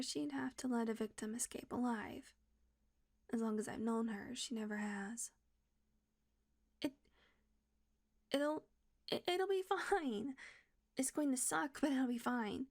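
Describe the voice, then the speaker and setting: Woman voicing a shaken vampire, high voice, hushed whispers, sudden swearing, audio roleplay. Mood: tense, insistent. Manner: shifts from hushed whispers to cursing outbursts. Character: tense female vampire guarding a hunter.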